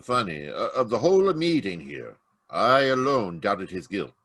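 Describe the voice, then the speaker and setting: An actor playing a halting, uneasy judge in old-time radio drama. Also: male voice, on the low side, big pitch swings, a bit bright, no room sound, full character voice. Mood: uneasy, hesitant. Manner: halting, hesitant. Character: hesitant, uneasy Italian male judge with secrets. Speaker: actor in a radio mystery performance. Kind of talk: 1940s radio drama acting. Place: home, live video call performance.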